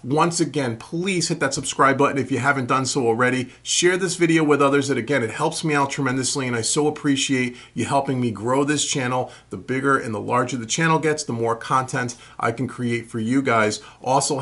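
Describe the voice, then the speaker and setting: A man in his forties talks to camera as the host of a how-to show. His mid-pitched voice has a husky, rough-edged grain. He explains things step by step in a casual, conversational manner, stressing key points as he goes. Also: a bit bright. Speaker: host of a home recording tutorial Q&A show. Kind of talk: casual explanatory talk to camera, answering viewer questions. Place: small furnished room at home, indoors.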